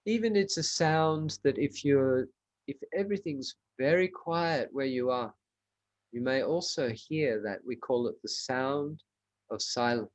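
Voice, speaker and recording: Male meditation teacher, unhurried pace, short spaced phrases, measured instructional delivery. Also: mid-range voice. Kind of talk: guided meditation.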